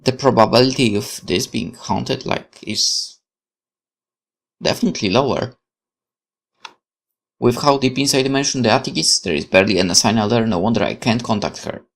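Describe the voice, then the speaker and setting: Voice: medium pitch, gravelly. A gamer doing let's play commentary, reading game text aloud expressively and questioning it. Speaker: gaming YouTuber.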